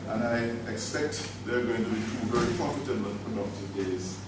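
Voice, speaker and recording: A man formally introducing a trainer to a workshop audience, speaking in a measured way. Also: lowish voice. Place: conference room. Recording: distant echoey room recording with noise.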